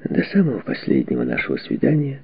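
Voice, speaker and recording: A man reading a memoir as a scripted audiobook narration, with measured, dramatic phrasing and expressive delivery. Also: mid-range voice, creaky, breathy edge, no room sound. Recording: dull, muffled lo-fi audio.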